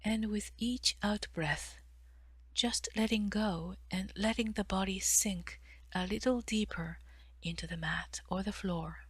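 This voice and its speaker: A woman guiding a meditation in a soft, breathy delivery with measured pauses between phrases. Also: medium pitch, no room sound. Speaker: meditation guide, therapist. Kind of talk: guided meditation narration.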